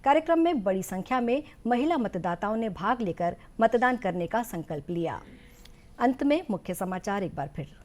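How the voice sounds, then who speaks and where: A woman news presenter reading scripted bulletin items in a formal, measured way. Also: medium pitch, expressive, darkish tone.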